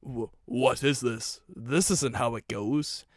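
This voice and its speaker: A man's voice: medium pitch, unhurried, pushed and bright, no room sound. Guy doing a nervy haunted man from an audio story, stammers, agitated, dry close sound. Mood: bewildered.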